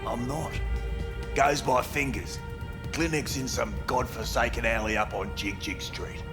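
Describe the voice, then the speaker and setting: An actor voicing a shifty underworld club boss in a video game, curt, clipped and dismissive. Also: male voice, mid-range voice, fairly even intonation, unhurried, over music, lightly acted. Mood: curt, menacing. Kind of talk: video game character acting.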